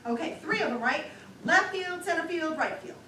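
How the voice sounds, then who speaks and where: A woman's voice: very high-pitched, animated, normal speed, bright, forceful tone, heavily acted. A woman playing a polite, earnest newspaper reporter who asks insistent, confused questions in a stage comedy routine. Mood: exasperated. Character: polite, increasingly confused female newspaper reporter, new to baseball. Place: small-town theater stage. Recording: distant mic in echoey theater, noisy.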